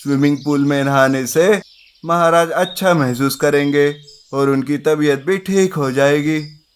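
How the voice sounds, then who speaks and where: A considerate elephant from a children's animal tale, played by a man. He speaks earnestly and respectfully in a smooth, clear voice pitched a little high for a male. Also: measured pace, lightly acted. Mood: earnest, helpful.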